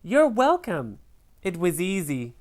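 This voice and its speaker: A woman's voice: fairly low pitch, wildly swooping pitch, slow pace, full character voice. A woman playing a young male crayon in a children's storybook, meek and earnest, speaking simply. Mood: pleased.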